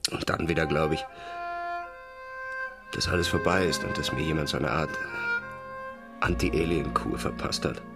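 A cynical rock musician at the helm of a boat. His voice is very low and his manner dismissive and sardonic, in radio drama style with clear music behind him. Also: steady tone, moderate pace, long pauses. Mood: resigned. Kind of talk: German radio drama acting.

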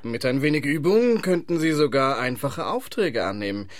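A man's voice: mid-range voice, sing-song, moderate pace, no echo, close to an everyday voice. Shrewd male studio boss, played by a man in radio drama: Swiss accent, dry close sound, varied intonation, businesslike. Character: guarded, shrewd male recording studio operator. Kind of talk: radio play dialogue.

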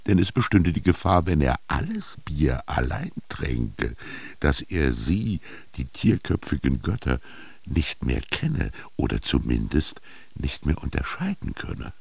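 A wry old Egyptian peasant looking back on his life in a radio drama. The voice is very low and full of gravel. He philosophizes in a slow, earthy way, with dramatic pauses. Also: dry recording, full character voice. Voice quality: gravelly, husky. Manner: slow, brooding, expressive storytelling with dramatic pauses. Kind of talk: literary radio drama monologue.

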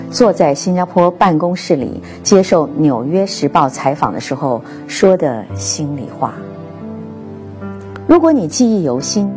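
A low-pitched woman presents scripted commentary as a programme host. Her delivery is measured like storytelling, yet the intonation rises and falls in a lively way. Faint music plays behind her. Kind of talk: scripted narrated commentary with read-out quotes. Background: soft instrumental music.

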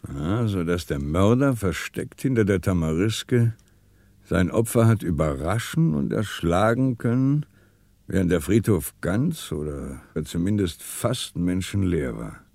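An actor playing a shrewd, teasing police inspector in a German radio crime drama, brisk and commanding. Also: male voice, sits low, animated, vocal fry, darkish tone, heavily acted. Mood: probing.

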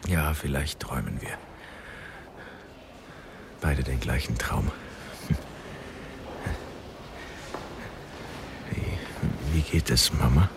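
A very deep, tired older father speaks in a radio play. He is resigned and mocks himself, pausing as he goes. Clear background noise surrounds the voice. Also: heavily acted. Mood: subdued, tender. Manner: halting, resigned, bitterly self-deprecating. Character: weary, broken, self-mocking father, a failed scholar. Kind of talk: radio drama acting.

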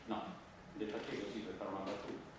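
A man's voice: on the low side, little pitch movement, fairly quick, close to an everyday voice. An actor playing a stubborn, simple-minded and easily flustered street performer in naturalistic comic stage dialogue, repeating his denials. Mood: defensive. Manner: stammering denials, stubborn repetition. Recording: echoey distant stage recording, noisy room.

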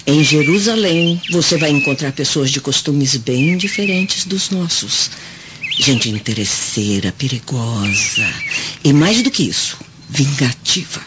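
A woman's voice: deep voice, lively intonation, unhurried. A Brazilian woman playing a devout, protective Roman mother in a radio drama, speaking earnestly with solemn, dramatic emphasis. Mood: grave, warning. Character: devout, protective Roman mother counselling her anxious friend. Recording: dull radio recording with clear noise.

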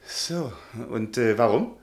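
An actor playing a shy, pedantic history professor in a German radio play, precise and scholarly, easily flustered into indignation. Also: male voice, medium pitch, animated, slow. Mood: hesitant.

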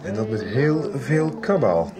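Actor as hesitant young man, loud crowd. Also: medium pitch, lively intonation, a little slow, close to an everyday voice. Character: hesitant young male singer and guitarist. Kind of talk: naturalistic radio soap dialogue. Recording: busy, noisy pub crowd.

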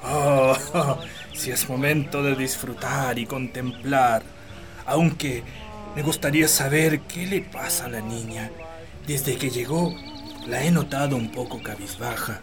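A man plays a fond, middle-aged gentleman in a radio drama. He speaks slowly, in a florid and courtly way. Soft music plays underneath. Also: mid-range voice, lightly acted. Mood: musing. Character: jovial, flowery middle-aged gentleman landowner, fond husband and father.

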